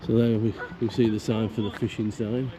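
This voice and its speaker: A man narrating a casual walk around a park fishing lake, croaky from illness, chatty and grumbling about the council. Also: on the low side, little pitch movement, brisk, crowd noise. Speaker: angler vlogger. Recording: outdoor camera audio with faint background noise.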